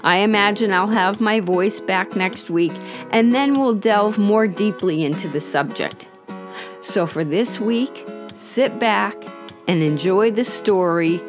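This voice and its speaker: A woman podcast host narrating a story in a calm, gentle, measured way while slightly hoarse from being under the weather. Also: mid-range voice, music in the background.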